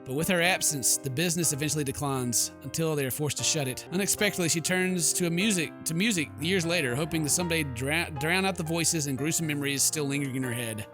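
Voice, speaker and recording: A man's voice: fairly high pitch, fast, few pauses. A man hosting a gaming video, reading story text aloud in a dramatic way and breaking off into casual, jokey asides. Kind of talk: let's play, reading game text aloud with casual reactions. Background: game music.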